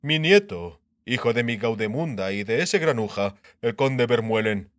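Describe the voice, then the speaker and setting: A man voicing a shrewd, authoritative king who speaks deliberately in an audiobook. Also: mid-range voice, lively intonation, a bit bright, no echo, studio quality. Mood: emphatic, proud. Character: shrewd, commanding male king speaking confidentially. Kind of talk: audiobook character dialogue.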